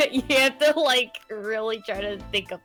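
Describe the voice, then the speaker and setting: A woman chatting while co-streaming a game, laid-back and self-deprecating. Her voice is bright and forceful, a little higher than average, with faint music behind. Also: a little slow. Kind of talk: casual chat.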